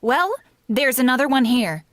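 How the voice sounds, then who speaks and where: A frank, loyal housemaid from a vintage radio comedy, acted by a woman. Her voice is fairly high and lively, with wry reactions. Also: moderate pace. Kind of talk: old-time radio comedy acting.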